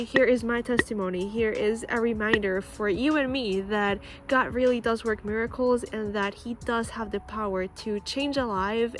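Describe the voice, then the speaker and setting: A woman in her early twenties from Costa Rica, a native Spanish speaker, talks casually and earnestly to camera as she shares a personal story outdoors. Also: on the high side. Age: early 20s. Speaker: vlogger. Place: outdoors, school playground near a road. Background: music, possible passing cars and birds.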